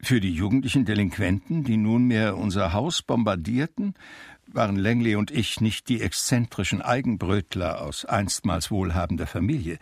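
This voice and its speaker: An actor playing an ageing, melancholy recluse who recalls his life in measured literary radio drama narration with dry irony. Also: fairly low pitch, gravelly, slightly rough, dry recording, heavily acted.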